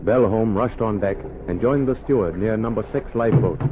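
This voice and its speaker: Low-pitched male narrator, tinny old radio. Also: a little fast, over loud music. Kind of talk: scripted 1930s radio drama narration. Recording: tinny, noisy 1930s radio recording.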